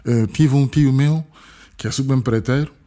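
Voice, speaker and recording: A man's voice: mid-range voice, moderate pace, dark tone. A man from Senegal with a French-tinged accent, talking as a guest in an interview, emphatic and repeating words for stress. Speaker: guest, activist. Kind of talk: conversational interview, earnest. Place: podcast studio.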